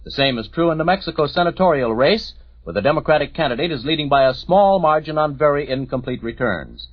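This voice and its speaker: A man reporting election news on 1940s radio, in a measured, matter-of-fact delivery. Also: fairly high pitch, lively intonation, no room sound. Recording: dry, muffled 1940s radio recording.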